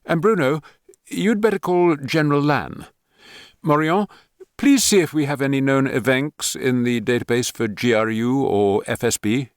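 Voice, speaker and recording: A man voicing a female intelligence officer who gives quick, commanding orders. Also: medium pitch, smooth, dry recording, clean studio sound, voice pushed up, natural voice. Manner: brisk and commanding.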